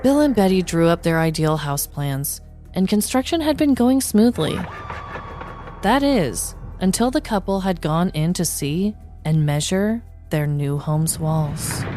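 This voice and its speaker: Female podcast host, slightly low voice, playful dramatic narration of crime tales over faint music. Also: mellow. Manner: dramatic, tongue-in-cheek narration. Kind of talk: scripted comedic true-crime storytelling.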